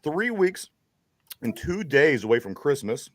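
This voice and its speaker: A man's voice: on the high side, very animated, a little slow, fairly bright tone, no echo, studio quality. A man with a Pittsburgh accent hosting a casual sports podcast, rambling with restarts. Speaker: podcast host.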